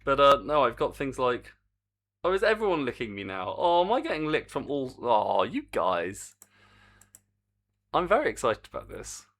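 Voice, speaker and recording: A man's voice: medium pitch, huge pitch swings, normal speed, fairly bright tone. A man streaming his game development work, chatting to viewers in a rambling, self-mocking way. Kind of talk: casual live-stream commentary while coding.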